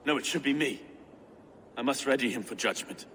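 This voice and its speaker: An actor playing an earnest, anxious medieval official in a game cutscene, speaking expressively and eloquently. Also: male voice, medium pitch, a little fast, a bit bright, natural voice. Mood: troubled, urgent. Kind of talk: dramatic game cutscene acting. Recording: reverberant hall, faint ambient noise.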